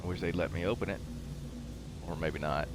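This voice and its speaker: A man with a fairly low voice chats casually while playing a video game. His commentary rambles and halts as his attention moves between talking and play. There is noticeable noise behind his voice. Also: steady tone, fairly quick. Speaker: host of a gaming show.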